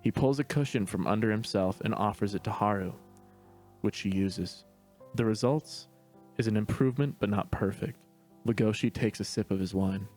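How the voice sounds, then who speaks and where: A man narrating stage directions in a steady, matter-of-fact reading voice. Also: on the low side, moderate pace, faint music behind. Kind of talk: plain read-aloud narration.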